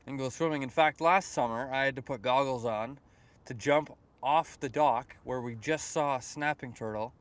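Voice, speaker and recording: A young man from Canada chatting casually on a fishing livestream outdoors by a lake, reading comments aloud and rambling with repeated words. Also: mid-range voice. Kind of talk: casual live-stream chat reading comments.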